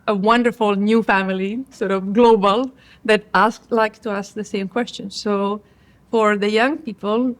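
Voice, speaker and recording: Reflective woman from Croatia. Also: medium pitch. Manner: thoughtful, with many restarts and fillers. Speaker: cardiologist guest. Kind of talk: conference interview.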